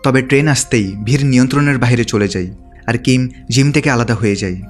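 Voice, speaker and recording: A man's voice: mid-range voice, fast talker, soft background music. A man reading a scripted story recap as a narrator.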